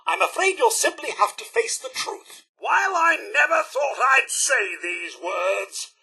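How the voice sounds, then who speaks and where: Casting: fussy British robot butler for a game, high-pitched, very bright and forceful, formal and easily wounded. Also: male voice, very animated, unhurried, vocal fry, husky, heavily acted. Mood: wounded, indignant. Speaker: companion character in a video game. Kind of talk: theatrical video game voice acting.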